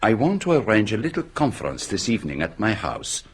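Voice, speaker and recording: An actor playing a shrewd, courteous foreign detective in a radio drama, questioning formally and turning statements into gentle questions. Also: male voice, lowish voice, huge pitch swings, brisk, slightly rough, full character voice. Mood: courteous, coaxing.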